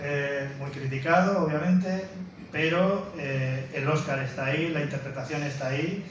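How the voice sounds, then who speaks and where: Film presenter, male, slightly high voice, explanatory and emphatic. Heavy room echo, faint noise. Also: fairly even intonation, moderate pace. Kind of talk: informal spoken lecture introducing a film. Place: indoor room, presenting to an audience. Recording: very echoey room, distant mic, faint noise.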